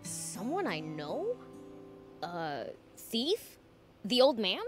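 A woman's voice: mid-range voice, wildly swooping pitch, drawn-out words, faint music behind, full character voice. A woman voicing a cocky, blunt young male demon prince in a video game, with a boyish voice. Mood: incredulous.

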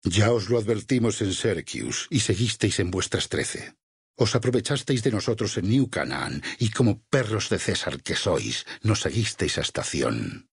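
A battle-hardened, vengeful man of God from a video game, speaking Castilian Spanish. His low voice is extremely raspy and heavy with gravel. He phrases everything solemnly and deliberately, like a stern preacher leading a war. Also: lively intonation, dry recording, clean studio sound, exaggerated voice. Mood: stern, menacing. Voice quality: gravelly, husky rasp. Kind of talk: dubbed video game voice acting.

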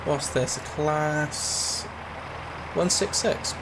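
A young man with a slightly raspy, hoarse voice from a cold, chatting loosely with lots of fillers while playing a train simulator. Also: fairly high pitch, extremely slow. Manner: rambling, chatty, with frequent fillers. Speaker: gaming channel host. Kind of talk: casual commentary over a train simulator. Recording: loud game train noise behind.

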